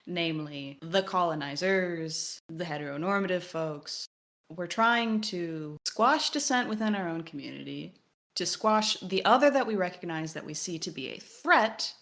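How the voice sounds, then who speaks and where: A woman's voice: fairly low pitch, animated, moderate pace, fairly bright tone. A woman co-hosting a podcast, giving casual analysis in an emphatic, wry way and acting out imagined quotes. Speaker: podcast co-host.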